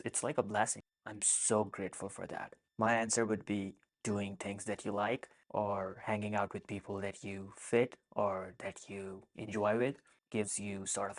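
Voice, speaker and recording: A young man in his twenties, from Pakistan, answering viewer questions in a soft-spoken, earnest vlog. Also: on the low side. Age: 20s. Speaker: vlogger. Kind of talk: casual Q and A monologue.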